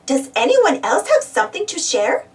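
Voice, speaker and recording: A woman's voice: high voice, wildly swooping pitch, moderate pace, far from the mic. A woman voicing a kindly, cheerful preschool teacher in a storybook, encouraging and sing-song.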